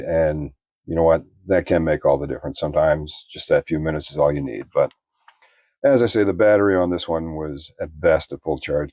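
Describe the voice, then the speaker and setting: A man giving an unscripted product review in a plainspoken, measured, explanatory way. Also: low-pitched, darkish tone, no echo.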